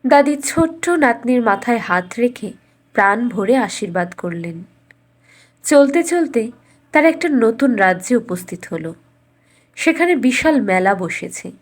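A woman's voice: fairly high pitch, very animated, a little slow. A woman reading a scripted fairytale as a storyteller.

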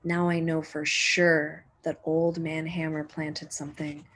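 Slow, husky woman reading a storybook. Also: sits low, level tone, a bit bright. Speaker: teacher reading a picture book aloud. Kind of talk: expressive children's storybook read-aloud.

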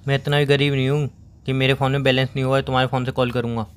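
A young man speaks at a rapid clip in a casual couple chat. His manner is insistent and teasing, as a boyfriend pressing his point. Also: mid-range voice, little pitch movement. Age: young adult. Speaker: boyfriend on a phone call.

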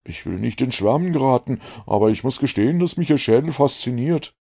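Man playing an eager higher-voiced gentleman scientist. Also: expressive, a little fast, gravelly, breathy edge. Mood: gushing fascination. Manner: effusive, fussily precise. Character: eager, talkative young gentleman scientist, gushing and pedantic.

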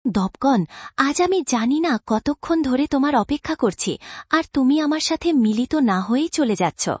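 Woman voicing a high-pitched, tender bird queen. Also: lively intonation, moderate pace, darkish tone, dry recording. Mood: pleading. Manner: tender, concerned. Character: gentle, grateful female talking bird queen. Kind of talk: dramatized cartoon story dialogue.

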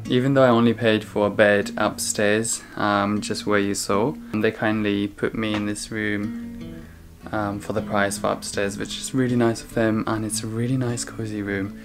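A man's voice: on the low side, moderate pace, music in the background. A young man vlogging casually as he tours a countryside guest house, chatty and upbeat with frequent ums, filming himself at arm's length. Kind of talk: casual travel vlog tour. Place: guest house indoors and snowy farmyard outdoors. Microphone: handheld camera at arm's length.

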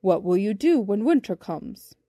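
A woman voicing a slow, serious male bumblebee with a rumbly bass voice in a children's storybook. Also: sing-song, dark tone, dry recording, studio quality. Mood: serious, objecting. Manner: slow, deliberate, pedantic.

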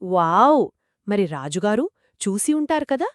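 A woman's voice: mid-range voice, very animated, a little slow, no room sound, clean studio sound. A woman co-hosting a children's story, asking questions and reacting with curiosity in a conversational style. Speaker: co-host listening and asking questions.

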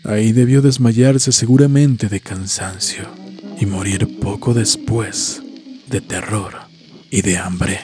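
A man reading a horror story as a narrator, solemn and measured, with dramatic pauses. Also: on the low side, fairly even intonation. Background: background music.

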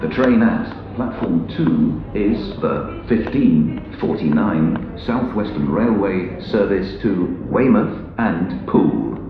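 An Englishman reading formal, measured railway station announcements over a public address system, enunciating each phrase clearly in a pieced-together, pre-recorded way. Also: sits low, animated, a crowd in the background. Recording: echoey station PA, muffled, noisy.

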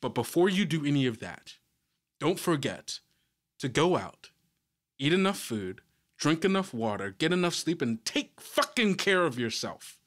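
Lively, higher-voiced man hosting a podcast. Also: measured pace. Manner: chummy, informal, swears casually. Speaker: podcast host. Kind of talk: casual podcast banter.

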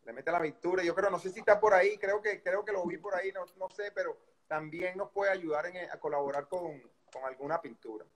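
Middle-aged man, Venezuelan accent, slightly high voice, relaxed rambling storyteller, webcam call. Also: a little fast, breathy edge. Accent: Venezuelan Spanish. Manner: relaxed storytelling with many fillers and restarts. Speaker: guest, baseball coach. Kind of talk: casual interview storytelling. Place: indoor room by a window. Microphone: video call webcam.

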